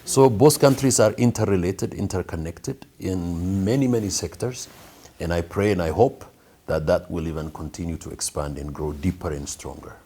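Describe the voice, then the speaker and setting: Very deep, husky-voiced Ethiopian man. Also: warm tone. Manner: earnest, measured, with frequent fillers. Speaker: guest, pastor and school founder. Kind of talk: conversational interview answers.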